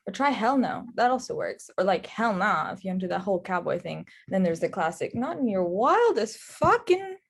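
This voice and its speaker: A woman acting a wry, loving girlfriend with a gravelly, husky voice. She sounds casual and caring, with worry underneath, as in a script read-through. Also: mid-range voice, very animated, normal speed, few pauses, heavily acted. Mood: wry, teasing. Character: affectionate, worried, wry young girlfriend.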